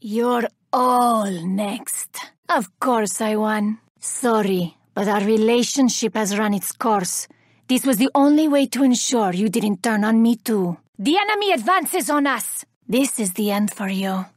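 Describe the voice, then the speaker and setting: Vengeful elven woman warrior, haughty, theatrical, deliberate menace, slightly high pitch, dry studio sound, video game character. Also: dry recording. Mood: urgent battle cry.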